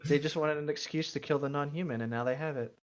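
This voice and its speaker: A man's voice: fairly high pitch, fairly even intonation, quick pace. A man casually reading stories aloud and bantering as a co-host, blunt and joking, on a lo-fi recording. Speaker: co-host reading online tabletop gaming horror stories. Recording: dull, lo-fi microphone sound.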